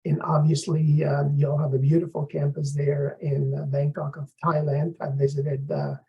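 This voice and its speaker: A middle-aged man from Afghanistan, a professor introducing his course in a measured, explanatory lecturing manner. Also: a little high, monotone, creaky, lo-fi sound.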